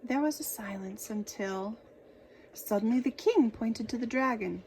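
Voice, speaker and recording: A woman reading a children's picture book aloud in an expressive, dramatic storytelling manner. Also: on the high side, a little slow, slight background noise, poor audio.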